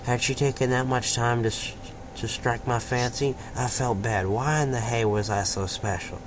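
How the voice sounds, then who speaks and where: Man reading aloud over clear background noise. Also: mid-range voice, fairly even intonation, moderate pace. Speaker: fan fiction reader and host. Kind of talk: amateur read-aloud of a story with casual asides. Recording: noisy amateur home recording.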